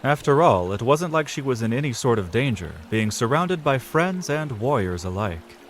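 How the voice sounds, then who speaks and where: A man's voice: mid-range voice, very animated, moderate pace, slight background noise. A man reading scripted narration for a fantasy audio drama, in a storyteller's style.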